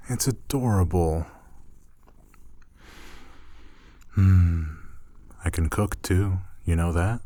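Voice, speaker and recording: A man acting an affectionate, teasing roommate in an intimate ASMR roleplay, soft-spoken and playful. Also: low-pitched. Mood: tender, teasing. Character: affectionate, teasing male roommate gently pampering a shy catgirl.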